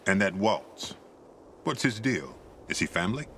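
Deep-voiced weathered older male wasteland pilgrim. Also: big pitch swings, unhurried, pushed and bright, a little hiss or hum, heavily acted. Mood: warm, parting. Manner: laid-back, teasing, wistful. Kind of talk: naturalistic video game dialogue acting. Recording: music under the dialogue.

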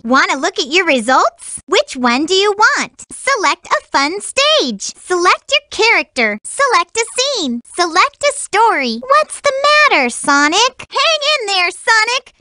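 A woman voicing a cute, energetic young girl hedgehog for a video game, sweet but feisty and lovestruck, with a perky, emphatic girlish delivery. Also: very high-pitched, no room sound, studio quality, exaggerated voice. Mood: cheerful, instructive, then pleading.

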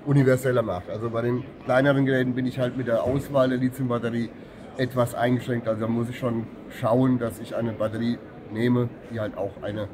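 A middle-aged man, a service technician, calmly explaining products in an interview at a trade fair booth. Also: medium pitch, brisk. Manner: calm, technical, matter-of-fact explaining. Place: busy exhibition hall booth. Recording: trade hall with clear crowd noise behind.

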